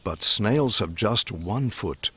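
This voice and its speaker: A man narrating a rhyming children's bedtime story in expressive, measured verse. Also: fairly low pitch, a little hiss or hum.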